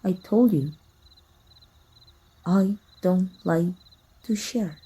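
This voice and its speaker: A woman playing a jealous, possessive villain in an intimate ASMR roleplay, soft-spoken, teasing and menacing. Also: on the low side, very slow, breathy edge, heavily acted. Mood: possessive, coolly menacing.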